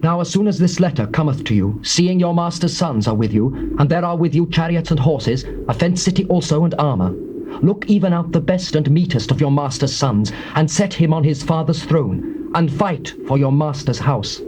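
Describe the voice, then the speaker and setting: Give the voice of a ruthless, zealous warrior king played by a male actor in a scripture drama. He is British, pitched a little high, and declaims with solemn, imperious authority. The old tape is clearly noisy. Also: animated, moderate pace, natural voice. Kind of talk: dramatised scripture, classical stage acting.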